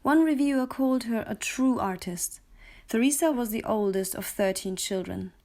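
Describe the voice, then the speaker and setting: A woman's voice: on the high side, lively intonation, moderate pace, darkish tone. A woman from Bavaria, a native German speaker, reads aloud and comments in German-accented English as a voice-over narrator. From Munich, Bavaria, Germany. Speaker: voice-over artist, reader.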